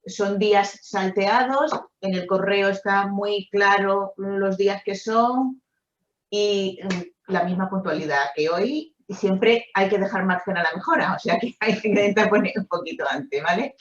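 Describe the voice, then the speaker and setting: Woman coordinator, brisk; echoey room, muffled call audio. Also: mid-range voice. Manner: brisk, practical. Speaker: course coordinator. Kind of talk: practical announcement. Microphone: video call.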